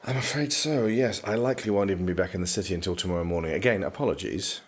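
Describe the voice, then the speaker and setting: An actor playing a reserved, exasperated young prince and student in an audio drama, speaking formally and drily. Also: male voice, low-pitched, fast. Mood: evasive, apologetic. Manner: formal, clipped, deadpan.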